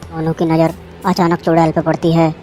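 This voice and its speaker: A storyteller narrates a scripted horror tale in a mellow voice. The pitch moves very little. Faint music plays underneath. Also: monotone, moderate pace.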